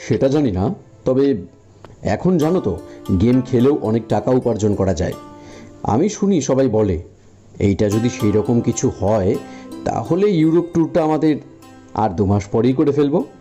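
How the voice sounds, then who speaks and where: A man voicing an ambitious, money-hungry young husband in a cartoon, with an expressive, grumbling delivery. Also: medium pitch, normal speed, pauses often, faint music behind, close to an everyday voice. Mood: absorbed, casual. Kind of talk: animated cartoon dialogue in Bengali fairy tale.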